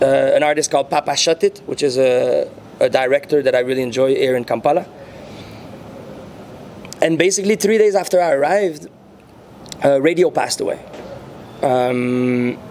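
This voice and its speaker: A man in his thirties with a Quebec French accent, French his first language, answering interview questions in English, unhurried, pausing often with fillers into handheld mics. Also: mid-range voice, a little mellow, a little hiss or hum. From French-speaking Canada. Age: 30s. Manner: unhurried, searching for words, frequent fillers. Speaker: interviewee, muralist and rapper. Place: indoor venue before a painted backdrop. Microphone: handheld mics held near the chin.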